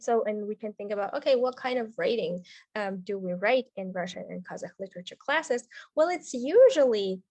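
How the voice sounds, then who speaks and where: A woman's voice: mid-range voice, a little mellow, dry recording. Woman teaching a webinar, dry sound. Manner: conversational, frequent "right?" checks and fillers. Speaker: webinar instructor.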